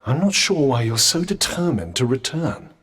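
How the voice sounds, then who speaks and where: A man's voice: mid-range voice, very animated, moderate pace, fairly bright tone, husky, long reverb tail. A British man voicing a witty, haughty magical talking vambrace in a video game, with pompous, droll and sardonic delivery. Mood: dry, knowing. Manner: pompous, droll, erudite wording. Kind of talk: video game character dialogue.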